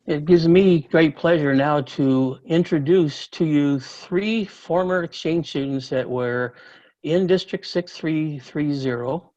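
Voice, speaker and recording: A man's voice: a little high, slow, darkish tone. A man hosting a virtual meeting, introducing speakers politely over a video call. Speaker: meeting host. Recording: dull lo-fi call audio.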